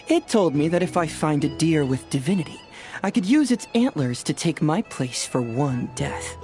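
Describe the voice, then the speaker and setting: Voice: mid-range voice, expressive, moderate pace, darkish tone, faint music behind, lightly acted. An actor voicing a cheerful, curious detective in an anime-style video game, chatty and playful. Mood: playful, confident. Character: cheerful, curious detective pursuing an Inazuman fugitive.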